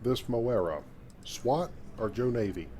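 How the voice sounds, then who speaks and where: A man voicing a courteous, earnest space adventurer, measured and articulate, over a video game visual novel. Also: medium pitch, animated, dark tone, a little hiss or hum. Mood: inquisitive.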